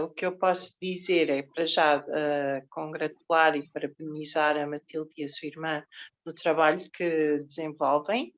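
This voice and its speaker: A woman moderating an online panel, asking long questions with many fillers and restarts. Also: deep voice. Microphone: video call. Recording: dull lo-fi video call audio.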